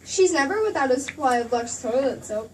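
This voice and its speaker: An actress playing a gushing, chic Hollywood reporter doing 1940s radio advertising banter. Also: female voice, sits high, animated, brisk, darkish tone. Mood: persuasive. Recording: distant mic, faint room noise.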